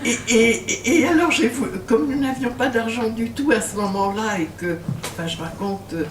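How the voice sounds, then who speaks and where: A woman's voice: a little high, moderate pace. Older woman, hesitant, in a roomy bookshop. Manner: hesitant, many fillers, laughs when telling stories. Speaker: author and guest. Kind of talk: bookshop talk with audience. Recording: roomy, distant mic, faint noise.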